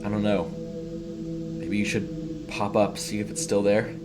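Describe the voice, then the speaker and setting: A man voicing a gruff male security guard in a horror story, terse and commanding but uneasy. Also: fairly low pitch, completely flat delivery, unhurried, over music, lightly acted. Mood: hesitant, uneasy. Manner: growling, terse.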